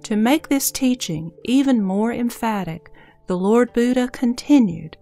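Mellow woman narrator with creaky edge. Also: on the high side, lively intonation, a little slow, faint music behind. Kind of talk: scripted narration of scripture.

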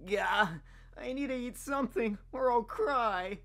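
A woman's voice: a little high, unhurried, a bit bright. A woman voicing a whiny, childish, hungry young male cartoon hedgehog in an amateur comic dub, with music behind. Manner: whiny, pleading, exclaiming. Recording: background music under the voice.

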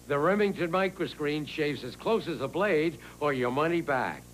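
Lively polished commercial voice-over man. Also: fairly high pitch, normal speed, a little hiss or hum. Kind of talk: product advertisement narration.

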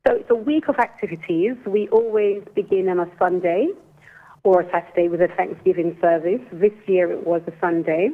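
A woman in her forties, born in Jamaica but with an English accent, speaking earnestly and slowly as an interview guest over the phone. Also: fairly low pitch. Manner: earnest, careful, deliberately slow delivery. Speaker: guest, restorative justice coordinator. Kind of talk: phone-in interview, explaining a program. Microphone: telephone. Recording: tinny phone line.

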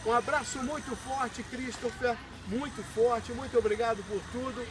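A man's voice: very high voice, fairly even intonation, normal speed. A man, operating amateur radio in a courteous way and calling out spelled callsigns. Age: 60s. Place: outdoors in a park by a pool area. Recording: noisy outdoor recording with radio hiss.

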